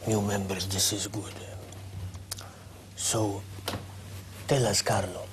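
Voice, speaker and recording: Man playing a slow-talking mafia Don. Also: fairly low pitch, level tone, noisy background. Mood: solemn. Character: slow, ponderous, self-satisfied male mafia Don. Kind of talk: comic mafia film acting.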